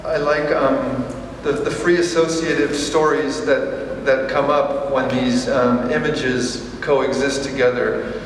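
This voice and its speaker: A man talks slowly and haltingly, filling pauses with ums and starting sentences over. His voice is caught from a distance in a large, echoing hall. There is faint room noise behind him. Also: medium pitch, fairly even intonation. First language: English. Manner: hesitant, many ums and restarts. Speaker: exhibiting artist. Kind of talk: press conference remarks. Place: large echoey museum hall. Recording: echoey hall, distant mic.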